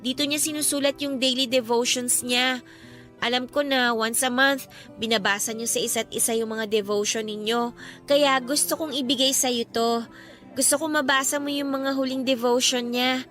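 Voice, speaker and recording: Very high, bright, bubbly little sister. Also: female voice, steady tone, normal speed, faint music behind. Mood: affectionate. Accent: Filipino. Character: bubbly, supportive younger sister of a departed man. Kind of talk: Filipino radio drama acting.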